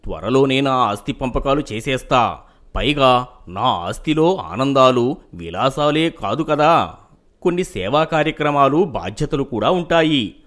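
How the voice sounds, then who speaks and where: Guy doing a grave traditional landlord dad in a moral cartoon, expressive pitch swings. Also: measured pace. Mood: measured. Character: grave, worried landlord father, strict traditionalist. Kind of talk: animated moral story dialogue.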